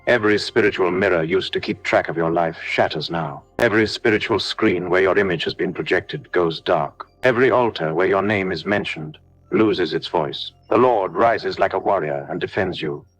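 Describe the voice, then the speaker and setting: Male prayer leader, deep register, solemn and declarative, measured phrasing for scripted spiritual warfare prayer, music underneath. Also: fairly bright tone, no room sound.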